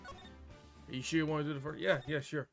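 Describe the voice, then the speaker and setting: A man's voice: on the high side, steady tone, moderate pace, gravelly, soft background music. A man chatting casually on a livestream as he plays a game and talks to viewers. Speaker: game streamer. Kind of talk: casual livestream chat while playing.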